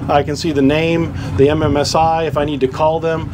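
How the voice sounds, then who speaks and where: Man, fairly high voice, relaxed rambling how-to talk, noisy background, drifts off-mic. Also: brisk. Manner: casual, rambling, many fillers and restarts. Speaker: boating channel host. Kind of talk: hands-on equipment tutorial.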